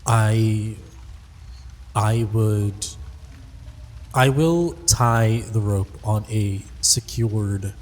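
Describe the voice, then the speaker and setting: A man's voice: fairly low pitch, drawn-out words, pauses often, big-room sound. A man playing a tabletop roleplaying game, conversationally describing what his character does.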